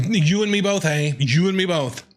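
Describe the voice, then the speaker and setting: A man giving casual, playful live reactions while streaming a video game, excitable and quick to respond. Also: high-pitched, a little hiss or hum. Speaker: gaming streamer. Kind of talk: casual live reaction commentary over gameplay. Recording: game music behind the voice.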